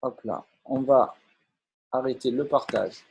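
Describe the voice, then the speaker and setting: A man giving an enthusiastic live online sales pitch, full of hesitations and repeated words. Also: measured pace, creaky, husky, low-quality recording.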